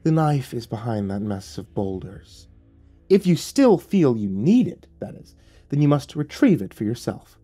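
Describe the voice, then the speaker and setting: Sneering male devil read by a man, cruel and taunting, mellow dark tone, soft music under. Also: medium pitch, very animated, slightly rough. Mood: mocking. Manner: taunting, drawn-out menacing delivery. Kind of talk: audiobook character voice.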